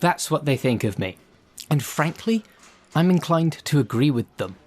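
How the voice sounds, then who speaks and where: A man's voice: fairly high pitch, sing-song, normal speed. A man playing an earnest, disgruntled actor who is fussy about his craft, in a conversational audio drama. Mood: exasperated. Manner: hesitant politeness turning to exasperated complaint.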